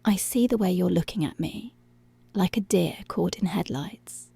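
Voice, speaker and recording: A commanding policewoman toys with her captive, acted out by a woman, in a soft, dark voice with plenty of creak. She taunts seductively and takes her time, sliding into whispers, in an intimate, very dry close-up ASMR style. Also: fairly low pitch, a little slow, dry recording, heavily acted. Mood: taunting, seductive. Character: dominant, teasing, menacing policewoman toying with her captive. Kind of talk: intimate ASMR roleplay, slow and breathy.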